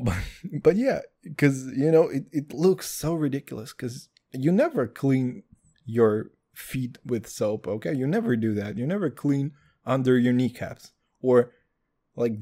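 Crude, excitable man ranting. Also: mid-range voice. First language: Hebrew. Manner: crude, loud swings, calls listeners dude. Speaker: podcast host. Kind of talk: comedic solo podcast rant.

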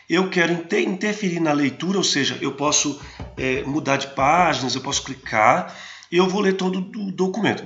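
A man hosting a screen-recorded tutorial on software and apps, talking with many restarts and fillers. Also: a little high, dull audio. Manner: hesitant, frequent restarts and fillers. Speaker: host of a geotechnology channel.

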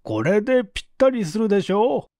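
A woman voicing a composed, courteous male detective chief in an audiobook, explaining in a deliberate, emphatic and formal way. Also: mid-range voice, sing-song, warm tone, no echo, studio quality, pitched above the performer's own voice. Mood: grave, accusing. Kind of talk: storybook dialogue in audiobook reading.

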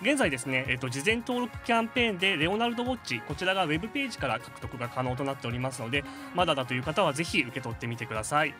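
A man with a slightly high, very animated voice delivers game news in a polite, formal way. He moves briskly through announcements and explanations as a staff member, over background music. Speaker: game operations staff member presenting updates. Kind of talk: polite scripted announcements with asides.

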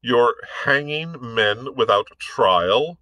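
A man playing a cool, witty physician who never loses his composure. He speaks slowly and wryly, his bright tone carrying a lilt. The sound is close and dry. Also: mid-range voice, animated, dry recording, pitched above the performer's own voice, rough recording. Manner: wry, composed.

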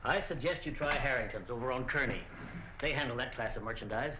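A man plays a smooth, evasive shop owner in an old crime film. His voice is crisp-edged and forward, his manner polished and formal, on a muffled soundtrack. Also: medium pitch, a little fast, sharp tone, a little hiss or hum, lightly acted. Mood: politely dismissive. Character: smooth, evasive middle-aged store proprietor. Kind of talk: 1940s film acting.

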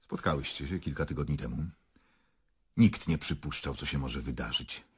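A man's voice: extremely low pitch, moderate pace, husky, full character voice. An actor playing a grave, probing male investigator in a radio drama, questioning insistently.

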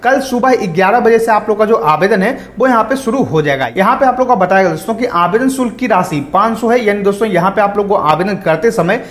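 A man's voice: high-pitched, expressive, brisk, few pauses, fairly bright tone. A man presenting an explanatory online tutorial, going over points repeatedly in a roomy, echoing recording. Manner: repetitive, explanatory, reads out then paraphrases.

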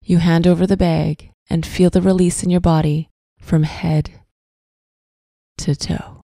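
A woman narrating a scripted guided visualization in a soft, breathy, soothing voice, with deliberate phrasing and long pauses. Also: on the low side, level tone, dry recording. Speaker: guided meditation host.

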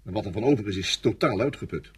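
A weary divisional general in a radio play. His voice is low and heavily rasping. He grumbles with gruff sarcasm while staying deferential to rank. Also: lively intonation, fast talker, a little mellow. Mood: weary, reluctant. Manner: gruff, sardonic, reluctant. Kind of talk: radio drama acting.

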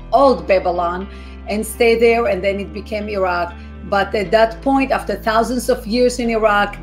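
A middle-aged woman, a guest on a video call, speaking earnestly with frequent "uh" fillers. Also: mid-range voice. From Israel. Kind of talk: interview storytelling. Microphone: video call webcam.

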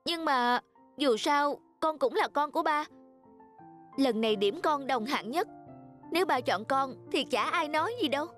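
A woman playing a proud, competitive schoolgirl in an animated cartoon. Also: sits high, measured pace, soft background music. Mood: pleading, indignant.

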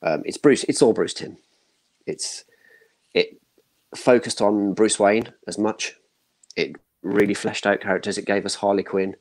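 A man's voice: lowish voice, measured pace, pauses often, a little mellow. A man in his thirties chatting casually as a panel guest, giving opinions in short broken bursts. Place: home room, indoors, video call.

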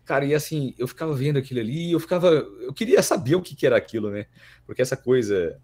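A man's voice: mid-range voice, lively intonation, quick pace, a little mellow. A Brazilian man in his thirties chatting casually as a podcast guest, repeating words as he thinks aloud. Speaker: voice actor guest. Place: small plain-walled room indoors.

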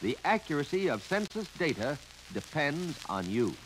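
An American man narrating a 1950 instructional film in a clear, instructive manner that addresses the listener directly. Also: fairly high pitch, normal speed. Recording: noisy 1950 filmstrip soundtrack, steady crackle.

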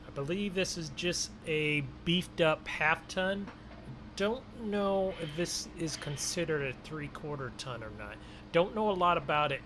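A man's voice: a little high, background noise. A man giving casual, rambling roleplay commentary as a gaming video host, chatty and full of exclamations.

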